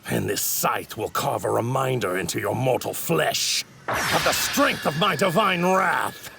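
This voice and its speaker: Haughty, gravelly anime villain god. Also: male voice, medium pitch, lively intonation, a little slow. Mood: cold wrath. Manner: haughty, theatrical, grandiose, formal diction. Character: arrogant, wrathful male god in a stolen mortal warrior's body. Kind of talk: anime dub villain acting. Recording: clearly noisy audio ripped from anime.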